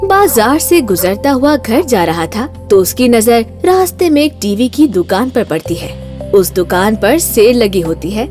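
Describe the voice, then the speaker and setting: A woman narrating an animated horror story in a storytelling style. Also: a little high, a little slow, soft background music.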